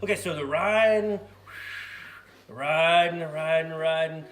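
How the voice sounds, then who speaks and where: A man speaking casually and a little haltingly as a sidekick on a children's puppet show, making up stories. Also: on the high side, fairly bright tone. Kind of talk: casual improvised storytelling for kids. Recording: roomy echo, faint music behind.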